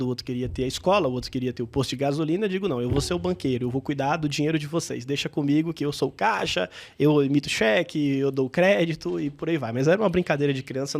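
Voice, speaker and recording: A man, a guest entrepreneur telling his story thoughtfully in an interview, pausing and restarting as he thinks. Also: fairly high pitch, vocal fry. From Bahia, Brazil. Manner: reflective, with frequent hesitations and restarts.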